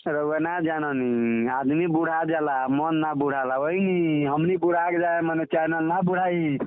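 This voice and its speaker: A man's voice: a little high, steady tone, unhurried. A man with a Bhojpuri accent chatting casually on a recorded phone call as a guest, agreeable and often repeating himself. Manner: eager, agreeable, repeats short affirmations. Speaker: comedian guest.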